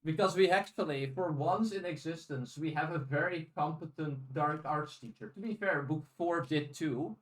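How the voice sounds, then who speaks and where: A man co-hosting a podcast in a casual discussion, speaking haltingly with restarted phrases and casual swearing. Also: fairly high pitch. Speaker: podcast co-host. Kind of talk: casual discussion of audiobooks and fiction. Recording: roomy echo, distant from microphone.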